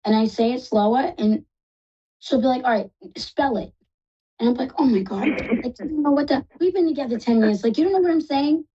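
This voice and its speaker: A woman with a New York accent hosting a live psychic call-in show, talking fast and reassuring callers affectionately. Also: sits high, mellow, husky, muffled recording. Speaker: psychic radio host.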